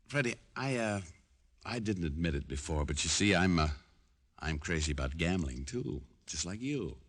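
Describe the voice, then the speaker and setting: An actor playing a wry, cool-headed hard-boiled private detective in an old radio crime drama. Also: male voice, sits low, expressive, moderate pace, no echo, studio quality. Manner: wry, sardonic, breezy. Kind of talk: 1940s-50s radio detective acting, dialogue and narration. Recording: old radio broadcast recording.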